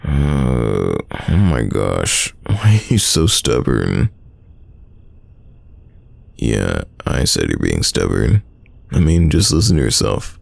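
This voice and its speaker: A man acting a teasing, stubborn young friend in an intimate roleplay audio drama, with a very deep, gravelly voice and a casual delivery full of drawn-out sighs. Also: wildly swooping pitch, no echo, exaggerated voice. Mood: teasing, insistent.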